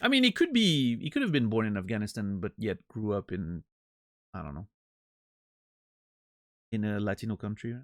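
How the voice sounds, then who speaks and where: A man's voice: lowish voice, very animated, moderate pace, long pauses, no echo, studio quality. A man streaming and chatting casually with his viewers, joking and rambling with many restarts. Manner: rambling, self-interrupting, joking. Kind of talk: casual chat with live chat.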